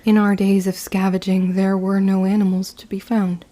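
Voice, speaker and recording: A woman playing a grim, resolute young witch in an audio drama, measured and solemn in delivery. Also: medium pitch, little pitch movement, mellow, natural voice. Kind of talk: scripted first-person audio drama narration.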